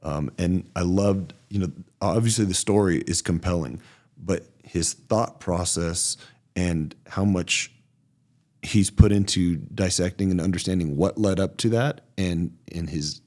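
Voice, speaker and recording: A podcast co-host, a man with a very deep voice, speaks reflectively and slowly finds his words. He pauses often on fillers as he shares his impressions. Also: darkish tone. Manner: thoughtful, halting, many fillers. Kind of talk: reflective conversation.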